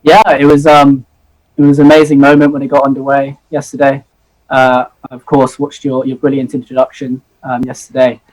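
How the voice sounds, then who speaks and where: A man's voice: mid-range voice, monotone, normal speed, a little mellow, low-quality recording. A young man in his twenties from Hong Kong, a zoology student, joining a live interview by video call, earnest and eager with many ums. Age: 20s.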